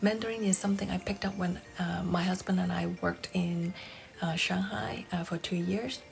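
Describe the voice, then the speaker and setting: Woman vlogger in her forties, Cantonese native, measured and careful, slight fry, music bed under her. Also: on the low side, steady tone. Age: 40s. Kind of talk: scripted personal vlog.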